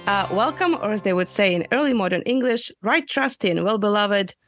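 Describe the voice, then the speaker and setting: A woman's voice: mid-range voice, animated, brisk, a bit bright, faint music behind. A woman co-hosting a history podcast, opening the episode and putting friendly questions to a guest. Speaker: podcast co-host. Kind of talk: scripted podcast intro and interview questions.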